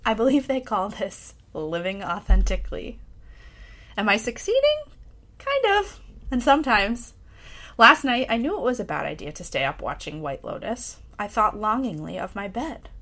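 A woman's voice: mid-range voice, big pitch swings, moderate pace, fairly bright tone. A woman with a mid-range voice reads a personal essay aloud as if for podcast listeners. Her delivery is reflective and candid. It stays conversational, with a lightly self-amused edge. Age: adult parent of a young child. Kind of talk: personal essay read aloud with casual asides.

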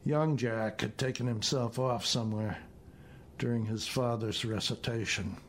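A man narrates a literary short story as its author. His pace is very slow and his delivery measured and deliberate, in a medium-pitched voice. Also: fairly even intonation, slight background noise. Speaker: author reading own story.

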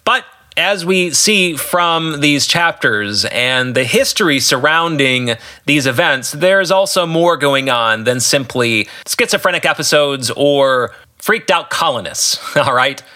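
A man's voice: fairly high pitch, animated, slow pace, bright, forceful tone. A man with an American accent giving conversational commentary on his own story as a podcast host and author, with emphatic, storyteller-like phrasing. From West Michigan, United States.

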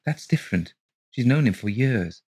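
A man's voice: mid-range voice, lively intonation, normal speed, darkish tone, slightly rough, voice pushed up. A man reading an audiobook, voicing an exhausted mother who speaks firmly and keeps her voice level. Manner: firm, trying to keep level. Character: exhausted, anxious young mother. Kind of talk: audiobook character voice.

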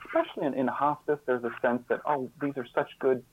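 A man speaking as an interview guest, explaining his ideas in a reflective, earnest way, often halting and repeating words. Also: mid-range voice, steady tone, a little hiss or hum. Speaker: interview guest and author on caregiving. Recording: slightly phone-like, band-limited line.

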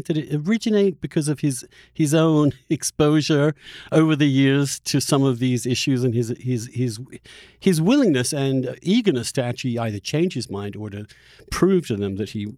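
Halting male interviewer, dry close sound. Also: medium pitch, dark tone, dry recording. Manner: halting, rephrasing questions as he goes. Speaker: podcast host, interviewer. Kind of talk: conversational interview.

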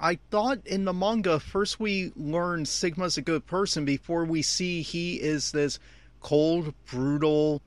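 A man's voice: a little high, a bit bright, dry recording. A man giving off-the-cuff, opinionated audio commentary on an anime episode, speaking emphatically.

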